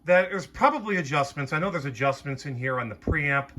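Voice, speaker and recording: A man's voice: fairly high pitch, lively intonation, a little fast, fairly bright tone, slight background noise. A man giving a casual hands-on equipment demo, explaining things informally and thinking aloud as he goes. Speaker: vintage audio hobbyist presenter. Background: music playing.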